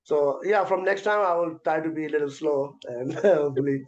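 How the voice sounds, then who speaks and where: Man w answering questions fast n hesitant, kinda highish voice, muffled call sound. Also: fairly even intonation. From South Asia. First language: Urdu. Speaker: guest presenter. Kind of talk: answering questions in an online event. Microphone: video call.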